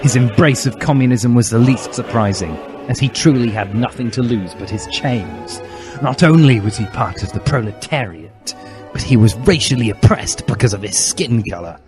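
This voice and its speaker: A man narrates a story in a theatrical, mock-serious style. His pitch moves around freely and dramatically, and music plays clearly behind his voice. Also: lively intonation, normal speed. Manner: theatrical, bombastic. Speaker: reader of a fan fiction story.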